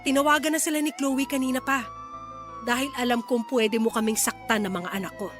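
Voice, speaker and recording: A woman's voice: high voice, steady tone, a little fast, music in the background, close to an everyday voice. An actress with a Filipino accent playing a fed-up, fiery mother in an emphatic radio drama style. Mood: firm, cold. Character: fed-up, fiery mother confronting her lying ex.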